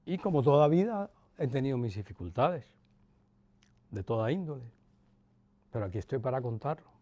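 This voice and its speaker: A man in his sixties with a Cuban accent softened by Castilian Spanish, reminiscing in an interview in a measured way, with pauses and emphatic repetition. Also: medium pitch, very animated, dull audio. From Cuba. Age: 60s, about 64. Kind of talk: reflective personal reminiscence.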